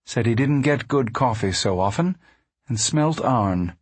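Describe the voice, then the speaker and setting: A man's voice: medium pitch, animated, a little slow, breathy edge, dry recording. A man voicing a plain-spoken, protective farm mother in a storybook. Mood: plain, casual. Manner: folksy, firm.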